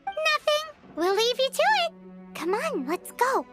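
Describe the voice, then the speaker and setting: A woman voicing a tiny, excitable fairy girl who talks about herself in the third person, for a game. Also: extremely high pitch, measured pace, over loud music, heavily acted. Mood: chirpy. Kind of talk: game voice acting.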